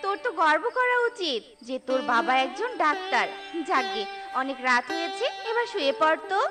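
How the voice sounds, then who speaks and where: A woman's voice: high voice, big pitch swings, brisk, loud music behind the voice. A woman voicing a caring, anxious wife and mother bird in a cartoon, speaking earnestly. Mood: soothing.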